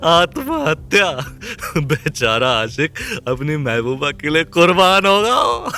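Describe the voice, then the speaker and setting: A cruel young villain mocks and taunts in a drawl, voiced by a man. His voice is bright and edgy, it leaps wildly up and down, and he draws out his jeers. Soft music plays beneath him in this audio drama. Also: a little high, wildly swooping pitch, drawn-out words, exaggerated voice. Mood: gloating. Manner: mocking, taunting, cackling.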